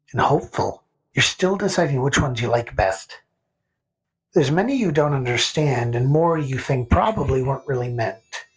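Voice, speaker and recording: A man narrating a scripted fantasy story in the second person, with a gentle, whimsical storytelling manner. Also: medium pitch, rough recording. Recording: faint music under narration.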